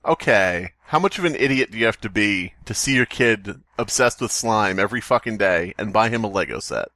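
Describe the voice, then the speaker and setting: A man's voice: lowish voice, expressive, fairly quick, pushed and bright, no echo. A man co-hosting a podcast with casual, profane, sarcastic comedic banter. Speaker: podcast co-host.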